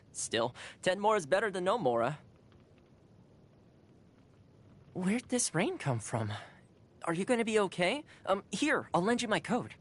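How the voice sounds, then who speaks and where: Lively, cheerful young housekeeper lad. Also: female voice, fairly low pitch. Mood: easygoing, concerned. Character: cheerful, caring young male housekeeper, friendly and earnest. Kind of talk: video game character voice lines.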